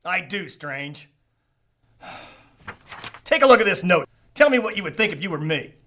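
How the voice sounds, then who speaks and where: An actor playing a suspicious, sarcastic 1940s police lieutenant who needles and accuses in a noir radio drama. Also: male voice, high voice, very animated, moderate pace, fairly bright tone, heavily acted. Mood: needling. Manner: pointed, sarcastic.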